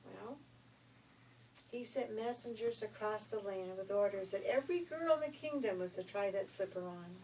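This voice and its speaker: An older woman telling a fairy tale informally, in a folksy, expressive, chatty way. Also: medium pitch. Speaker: storyteller. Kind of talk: informal read-aloud fairy tale storytelling with asides. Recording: distant mic with faint background noise.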